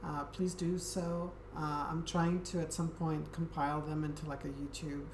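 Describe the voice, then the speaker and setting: A woman hosting a livestream, talking casually to her viewers in a soft, friendly way and often pausing on an um. Her voice sits low. It echoes around the room over a steady background noise. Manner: soft, friendly, hesitant with many ums. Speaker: Twitch streamer.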